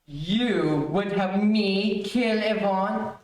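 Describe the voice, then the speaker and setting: An actor playing a vengeful, cold older convict, accusing and theatrical, in old-time radio melodrama. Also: male voice, high-pitched, very slow. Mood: cold menace. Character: vengeful gray-bearded escaped convict, cold and menacing. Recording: very echoey room, distant mic.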